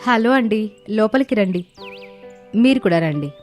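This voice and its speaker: A woman voicing an earnest, expressive young sister in a dramatized cartoon moral story. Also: mid-range voice, measured pace, natural voice. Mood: eager. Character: young sisters, eager amateur video makers. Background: background music.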